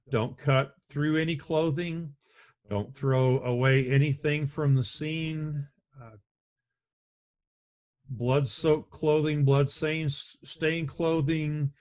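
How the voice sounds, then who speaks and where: Middle-aged man, deep voice, unhurried EMS instructor on a webcam lecture, repeats himself as he goes. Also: darkish tone. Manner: slow, measured, conversational with frequent restarts. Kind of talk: recorded slide lecture. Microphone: webcam and computer mic on a video call.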